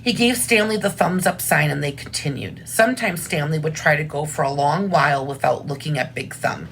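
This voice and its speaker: A woman's voice: low voice, a little fast, vocal fry, fairly bright tone, a little hiss or hum, low-quality recording. A woman reading aloud expressively as a teacher, with simple, informal explanations about what to draw. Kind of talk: expressive read-aloud with drawing asides.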